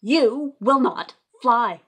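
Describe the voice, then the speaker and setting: A woman acts out a doubting storybook elephant, a blunt fellow who contradicts firmly. Words are stretched out slowly and emphatically, with big swings in pitch. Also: lively intonation, fairly bright tone, husky, full character voice. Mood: insistent.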